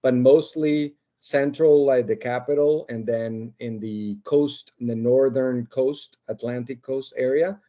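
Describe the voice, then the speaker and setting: Man, Colombian accent, warm mellow tone, casual podcast guest, rambling with fillers. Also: mid-range voice, steady tone, a little slow, hardly any pauses, highs cut off. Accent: Colombian, Barranquilla. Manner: rambling, many fillers and restarts. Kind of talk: casual chat.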